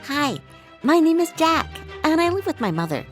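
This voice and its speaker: A woman narrating a scripted bedtime story for young children in an expressive way, telling it as the main character. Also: high voice, normal speed, soft background music.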